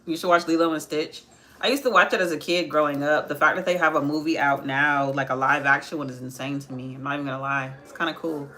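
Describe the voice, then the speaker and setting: Low-voiced young woman chatting through makeup. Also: steady tone, quick pace, sharp tone. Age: 20s. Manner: chatty, answers viewer comments while working, repeats phrases. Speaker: makeup livestream host. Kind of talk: casual live makeup tutorial, chatting with viewers.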